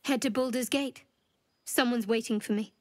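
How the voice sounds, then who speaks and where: A wry, guarded young adventurer woman from a video game, acted by a woman. Her voice is fairly high, composed and teasing, in a dry studio sound. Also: fairly even intonation, normal speed, dry recording, close to an everyday voice. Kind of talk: video game character acting.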